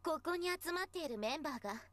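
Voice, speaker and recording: A sharp-tongued teenage schoolgirl from a visual novel game, taunting and scornful. Her voice is high and races along with animated swings. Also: very fast, no room sound. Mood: scornful, mocking.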